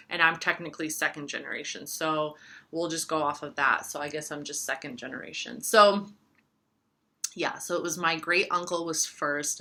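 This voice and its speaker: A woman in her thirties, raised speaking Serbian, talks casually to camera about astrology. Her voice sits a touch low yet comes across bright and forceful. She rambles, restarts, and fills pauses with "like" and "you know". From United States. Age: 30s. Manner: rambling, filler-heavy, chatty. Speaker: astrologer and tarot reader. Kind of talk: casual talking-to-camera chat.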